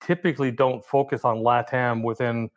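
A man's voice: mid-range voice, little pitch movement, moderate pace. A man who is a podcast guest, commenting casually and thinking aloud with frequent hesitations. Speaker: interview guest. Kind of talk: casual conversation.

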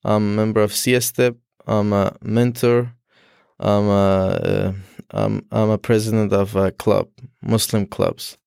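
A young man from Afghanistan whose first language is Dari Persian, speaking as a student guest in an interview, halting with frequent restarts and fillers. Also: on the low side, flat delivery, gravelly, a little mellow, clean tone, dry recording, clean studio sound. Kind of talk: casual interview.

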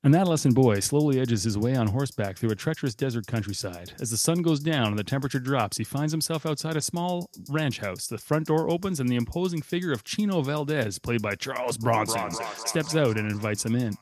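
A man's voice: mid-range voice, a little fast, hardly any pauses, a little mellow, faint music behind. A man with a Canadian accent hosting a film podcast, moving between chat and prepared narration. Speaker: podcast host. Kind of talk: film podcast talk with scripted synopsis.

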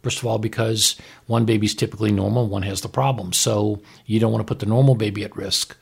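Male doctor podcaster, husky low voice, emphatic and frank, conversational explainer. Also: fairly even intonation, quick pace. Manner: emphatic, plain-spoken, frank. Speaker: doctor co-hosting a medical podcast.

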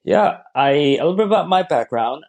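A man's voice: fairly high pitch, lively intonation, brisk, gravelly, a little mellow. A man from Hong Kong, a strength and conditioning coach, answering podcast interview questions in a thoughtful way, often restarting his words.

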